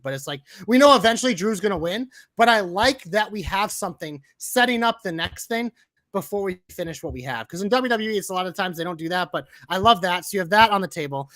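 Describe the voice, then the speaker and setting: Excitable podcast co-host, quick and bright, casual and jokey. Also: male voice, high-pitched, dry recording. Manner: fast, excitable, jokey, swears. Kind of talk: casual wrestling chat.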